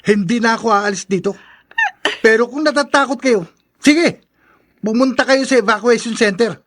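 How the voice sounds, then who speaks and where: Filipino radio drama, stubborn young brother, very high male voice, faint background noise. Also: moderate pace. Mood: defiant.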